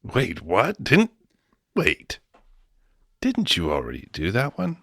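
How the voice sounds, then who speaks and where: A man playing an eager, slow-witted movie studio man brainstorming in a comic radio sketch. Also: fairly low pitch, huge pitch swings, gravelly, fairly bright tone, no echo, full character voice. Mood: confused. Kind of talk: comic audio sketch acting.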